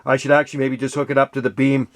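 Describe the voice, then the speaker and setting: A man's voice: medium pitch, flat delivery, racing. A man in his sixties or older having a casual CB radio chat, folksy and full of radio slang. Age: 60s or older. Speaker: radio hobbyist, channel host.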